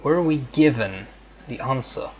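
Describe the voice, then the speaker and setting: A man's voice: medium pitch, expressive, a little slow, muffled recording, slight background noise. A man giving casual let's-play commentary as a gaming streamer.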